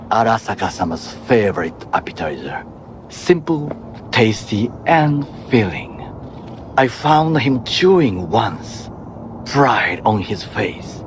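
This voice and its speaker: An actor playing a stern, middle-aged Japanese former bodyguard in a video game, with a Japanese accent and formal, measured phrasing. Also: male voice, lowish voice, a little hiss or hum. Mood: wistful, earnest.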